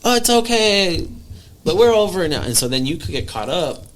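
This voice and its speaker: A man from Venezuela with a Midwestern American accent, co-hosting a casual chat show and telling stories in an animated way. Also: high-pitched. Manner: rapid, animated storytelling. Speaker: podcast co-host.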